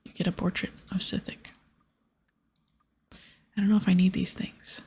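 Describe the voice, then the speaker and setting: Breathy, whispering ASMR woman. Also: fairly low pitch, level tone, unhurried, warm tone. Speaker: ASMR gaming host. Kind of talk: ASMR game playthrough, reading dialogue aloud.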